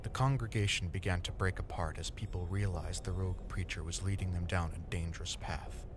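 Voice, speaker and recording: A man reading scripted, dramatic dark fantasy narration as the storyteller. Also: deep voice, steady tone, a little fast. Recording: ambient noise behind the voice.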